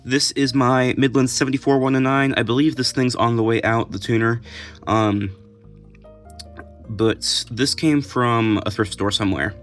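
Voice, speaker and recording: An American man narrates a collection in a casual show-and-tell way. His delivery is unscripted and halting, often trailing off mid-thought. His pitch sits in an ordinary male range, with some music behind him. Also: steady tone. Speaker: video creator showing a collection.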